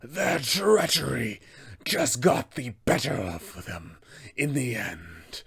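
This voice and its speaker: A man voicing an insane, imperious old dwarf tyrant for a tabletop game, raspy and gravelly, with a grandiose, menacing delivery. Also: medium pitch, very animated, a bit bright, exaggerated voice. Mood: brooding, scheming. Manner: slow, grandiose, menacing, theatrical. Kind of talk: villain monologue for a tabletop game.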